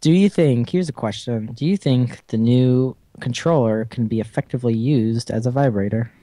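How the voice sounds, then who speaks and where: A man's voice: medium pitch, moderate pace, warm tone, dry recording. A young man co-hosting a podcast in casual chat, joking, swearing and laughing often. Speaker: podcast co-host.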